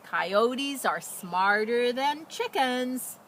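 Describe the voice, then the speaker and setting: Woman playing an exclaiming, high-voiced farmer's wife. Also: expressive, exaggerated voice. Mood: praising, cautioning. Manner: bright exclamations, emphatic drawn-out advice. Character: farmer's wife, exclaiming fondly to her hen. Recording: noisy outdoor recording.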